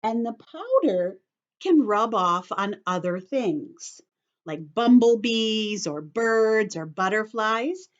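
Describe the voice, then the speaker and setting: Woman, primary-teacher read-aloud for little kids: high-ish, very animated pitch, simple phrasing, slightly muffled lo-fi sound. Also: takes it slowly. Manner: expressive, simple teacherly phrasing with questions to children. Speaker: teacher reading aloud to young children. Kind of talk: read-aloud lesson for children with explanations.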